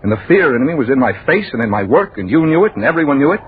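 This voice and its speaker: A man's voice: fairly high pitch, very animated, slightly rough, heavily acted. Fervent haunted man, swooping intonation. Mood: haunted confession. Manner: earnest, halting, overwrought. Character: fervent haunted husband obsessed with death. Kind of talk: 1940s radio drama monologue. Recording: tinny 1940s radio, faint noise.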